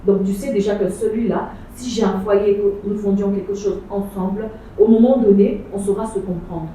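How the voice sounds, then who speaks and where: A woman's voice: medium pitch, steady tone, normal speed, dark tone. Woman arguing from a distance, echoey. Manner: insistent, repeats phrases, mixes in English and Lingala words. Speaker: panel guest. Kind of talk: casual debate on a street panel. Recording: echoey, distant mic, faint noise.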